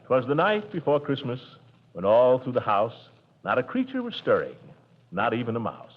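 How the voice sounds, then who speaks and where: Give me a pompous, chuckling uncle with a very animated voice. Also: male voice, mid-range voice, moderate pace. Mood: reading aloud. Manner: blustery, chuckling. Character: Gildersleeve, pompous jovial middle-aged uncle. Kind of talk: 1940s radio acting. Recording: dull old radio broadcast.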